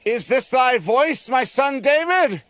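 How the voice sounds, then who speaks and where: A man's voice: very high-pitched, animated, slow, a bit bright, soft background music, full character voice. A man playing a remorseful, humbled king in a dramatized scripture recording, declaiming emotionally in archaic phrases.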